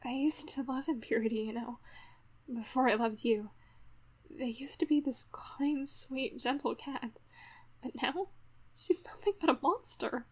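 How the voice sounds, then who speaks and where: A woman voicing an earnest, emotional young heroine with dramatic delivery in an animated drama. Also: high voice, a little slow, slightly rough, dry recording. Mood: wistful. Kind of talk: dramatic character acting.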